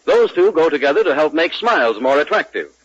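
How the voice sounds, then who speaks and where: A man working as a 1940s radio announcer, delivering sponsor pitches and show patter. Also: sing-song, a little fast, fairly bright tone, breathy edge. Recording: muffled vintage 1940s radio recording.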